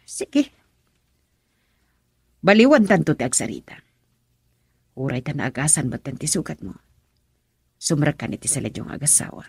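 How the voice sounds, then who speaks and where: A woman's voice: low voice, sing-song, moderate pace, gravelly, long pauses, darkish tone, breathy edge, no room sound, clean studio sound, heavily acted. An actress playing a stern, worried middle-aged mother scolding her son, in Ilocano radio drama style with an Ilocano accent. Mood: reproachful.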